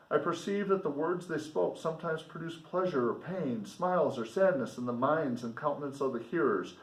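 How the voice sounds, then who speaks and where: A man reading a novel aloud as a teacher, in a deliberate, explanatory manner with casual asides. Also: fairly high pitch. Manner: deliberate, explanatory, pausing to comment for students. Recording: roomy echo, distant microphone.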